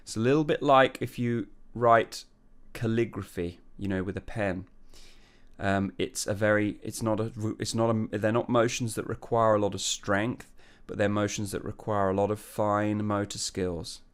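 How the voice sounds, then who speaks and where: A male voice coach with a low, smooth, resonant speaking voice. He explains singing technique loosely, thinking aloud with lots of ums and restarts. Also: normal speed. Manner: relaxed, thinking aloud with many fillers and restarts. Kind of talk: singing lesson with sung falsetto demonstrations.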